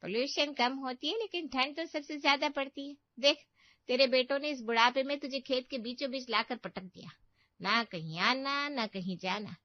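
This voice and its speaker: A woman's voice: high voice, moderate pace, no echo, close to an everyday voice. A woman voicing a boastful, fault-finding elder aunt in a Hindi cartoon family story, with a scolding, exclamatory manner. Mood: scolding, complaining. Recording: dull, muffled studio voice track.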